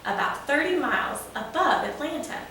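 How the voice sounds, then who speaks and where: A woman's voice: high voice, animated, normal speed, bright, forceful tone. A woman voicing a proud, folksy old male steam locomotive in a children's storybook, telling a tale eagerly. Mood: folksy, animated. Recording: echoey room, distant mic, faint noise.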